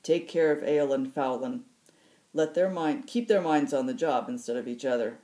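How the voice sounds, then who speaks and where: Big military sergeant, read by a woman, stern yet fond, dry delivery, deep and soft-edged, for sci-fi audiobook. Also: normal speed. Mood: fond, firm. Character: huge male soldier sergeant, stern but fond commander.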